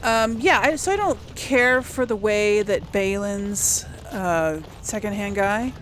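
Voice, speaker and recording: Slow, rambling woman gamer thinking aloud. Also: mid-range voice. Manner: rambling, hesitant, musing to herself. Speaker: host of a role-play let's play series. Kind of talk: casual gameplay commentary, thinking aloud. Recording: clear background noise, game ambience.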